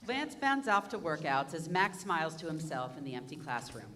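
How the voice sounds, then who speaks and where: A woman's voice: deep voice, very animated, moderate pace, a bit bright. A woman reading stage directions aloud in a staged reading of a musical. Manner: plain narration.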